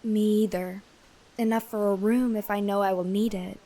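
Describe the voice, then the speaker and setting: A woman's voice: mid-range voice, fairly even intonation, moderate pace, warm tone, close to an everyday voice. A woman playing a practical, resolute female cleric in a fantasy audio drama. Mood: resigned.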